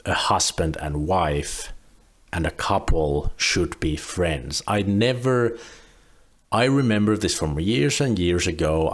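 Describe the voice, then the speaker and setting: A young man, relaxed and rambling, commenting on a video clip he is reacting to. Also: low voice. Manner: relaxed, rambling with frequent restarts.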